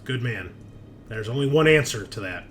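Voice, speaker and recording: A man's voice: medium pitch, animated, normal speed, crowd noise. A man hosting a tabletop roleplaying show with casual, chatty, informal intro banter and some swearing. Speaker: host and game master of a tabletop show. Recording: music playing behind the voice. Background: music.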